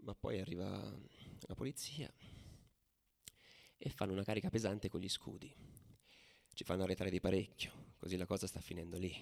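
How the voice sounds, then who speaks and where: An actor playing a fervid football ultra who recounts stadium riots in a theatrical radio monologue. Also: male voice, low voice, steady tone, normal speed, vocal fry, pauses often. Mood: tense, subdued. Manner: theatrical, swinging between hushed murmur and loud declaiming. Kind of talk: dramatic radio theatre monologue over music. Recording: loud musical backing under the voice. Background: music.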